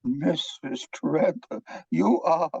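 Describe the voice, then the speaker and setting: A man playing an excitable, easily overwhelmed psychiatrist in a comic play, stammering dramatically in broken English. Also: a little high, very slow, creaky, husky, dull audio, exaggerated voice. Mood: overcome. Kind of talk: comic stage play reading.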